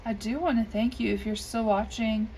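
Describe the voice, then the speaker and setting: A woman's voice: on the high side, steady tone, normal speed, darkish tone. A woman with a scratchy voice chatting casually and unhurriedly while she colors at her desk, with many ums. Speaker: coloring video creator. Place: indoors at a desk. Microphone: overhead camera above desk.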